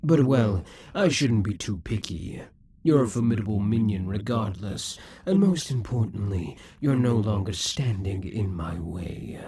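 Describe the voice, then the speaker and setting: A man voicing a possessive, scheming male demon king in a scripted audio roleplay, speaking in slow, breathy half-whispers. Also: fairly low pitch, animated, mellow. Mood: gloating, possessive.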